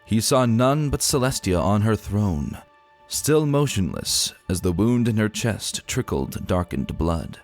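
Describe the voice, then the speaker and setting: A man reading a fantasy story aloud in a solemn, theatrical manner. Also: fairly low pitch. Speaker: audiobook narrator. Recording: music behind the voice. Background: music.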